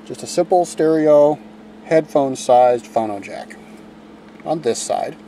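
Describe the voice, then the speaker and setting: A man's voice: a little high, animated, measured pace, warm tone, slight background noise. A man giving a casual how-to demonstration inside a motor home, talking in a folksy, plainspoken way with fillers and restarts, recorded on a handheld camcorder. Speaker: DIY video maker.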